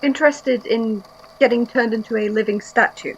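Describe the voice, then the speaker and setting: Actress as reserved, wary archivist in audio drama; terse, hesitant delivery on dull, slightly noisy audio. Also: female voice, a little high, normal speed, natural voice. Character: wary, politely reserved statement-taking archivist.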